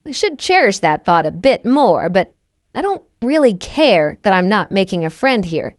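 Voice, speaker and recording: A woman's voice: mid-range voice, very animated, moderate pace, no room sound, clean studio sound. A woman reading first-person scripted novel narration as an audiobook narrator.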